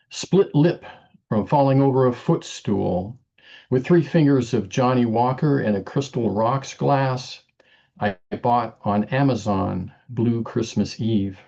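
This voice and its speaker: A man reading his poetry at an online open mic over a video call, chatting casually with many fillers before a deliberate reading. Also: fairly low pitch, gravelly, mellow. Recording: dull lo-fi video call audio.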